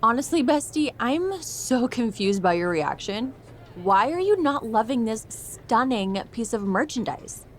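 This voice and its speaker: A woman's voice: fairly high pitch, sing-song, measured pace, a little hiss or hum. A woman playing a vain, self-absorbed young pro wrestler in a video game, breezy and gushing with a sugary passive-aggressive edge. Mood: mock-sweet. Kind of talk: video game cutscene acting.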